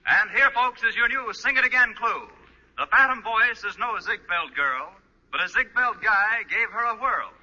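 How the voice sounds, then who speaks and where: An actor playing a showy radio quiz announcer in old-time broadcast style. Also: male voice, high-pitched, animated, normal speed, pushed and bright, full character voice. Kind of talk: 1940s-50s radio announcing. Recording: muffled old radio, long reverb.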